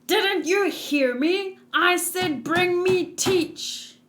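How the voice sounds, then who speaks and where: A woman voicing a huge, menacing male pirate warlord from a manga, bellowing drawn-out demands. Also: very high voice, fairly bright tone, pitched above the performer's own voice, exaggerated voice. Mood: demanding, angry.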